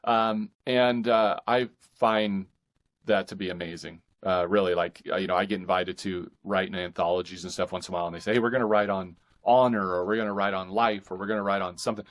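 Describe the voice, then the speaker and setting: A man speaking as an author guest in a casual interview, with halting, thoughtful phrasing. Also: deep voice, fairly even intonation.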